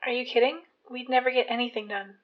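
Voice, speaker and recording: A woman playing a terse, deadpan school office helper in a scripted comedy audio drama, speaking in brief asides. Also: on the high side, fast, lo-fi sound, lightly acted.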